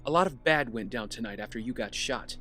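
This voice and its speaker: A man's voice: medium pitch, fairly quick, bright, forceful tone, faint music behind, natural voice. Terse, brooding actor as a male vigilante. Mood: stern, guarded. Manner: terse, gruff, guarded. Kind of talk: audio drama dialogue.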